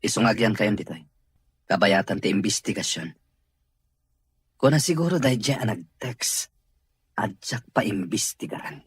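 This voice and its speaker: A man's voice: fairly low pitch, expressive, moderate pace, pauses often, breathy edge, no room sound, studio quality. A man with a Filipino accent playing a firm, protective, authoritative boss of a mansion in an audio drama. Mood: resolute. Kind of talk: Ilocano audio drama acting.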